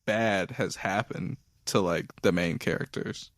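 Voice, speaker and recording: A man co-hosting a casual talk show, soft-spoken and hesitant, often trailing off. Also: low voice, measured pace, no room sound, clean studio sound. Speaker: podcast co-host. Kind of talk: casual chat about anime.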